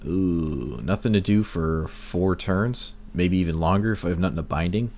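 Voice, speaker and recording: A man streaming a card game, talking through his plays in casual commentary, hoarse and losing his voice, wry and muttering at bad luck. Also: sits low, moderate pace, a little mellow. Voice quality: breaking, cracking voice.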